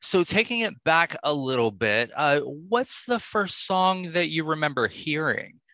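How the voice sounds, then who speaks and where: A man's voice: on the high side, big pitch swings, unhurried, bright, forceful tone, no room sound. A man hosting a podcast, chatting casually with gushing enthusiasm. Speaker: podcast host. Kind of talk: casual interview chat.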